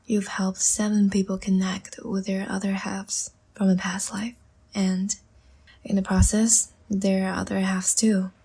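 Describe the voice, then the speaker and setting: Woman playing a languid, breathy, half-whispering vampire seductress. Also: medium pitch, level tone. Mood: soothing, teasing. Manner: slow, soft, teasing and soothing. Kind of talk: intimate ASMR audio roleplay.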